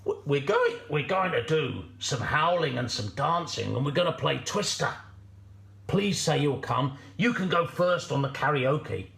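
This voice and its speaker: A sly storybook fox performed by a storyteller, all false friendship and coaxing. The delivery is breezy and lively, with the sound of an echoey room around it. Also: mid-range voice, a little slow, creaky. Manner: breezy, persuasive, emphatic.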